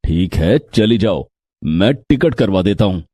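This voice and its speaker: A man acting a husky, animated young family man. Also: sits low, a little slow, dry recording, studio quality, exaggerated voice. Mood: resigned. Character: young husband and his brother, earnest family men.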